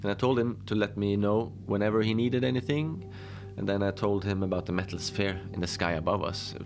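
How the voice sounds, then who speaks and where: Man playing a deep, gravelly deadpan storyteller. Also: soft background music. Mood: deadpan, musing. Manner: deadpan, rambling, halting with asides. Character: deadpan lone man recounting odd adventures on a frozen ship. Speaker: audio drama narrator. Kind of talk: slow conversational first-person storytelling in a cozy horror audio drama.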